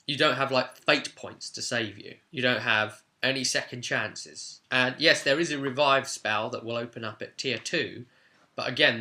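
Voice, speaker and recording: A man presents an informal tutorial in the role of a game master. His voice is mid-pitched, with a bright, forceful edge. He talks conversationally, leaning on key words as he explains how things work.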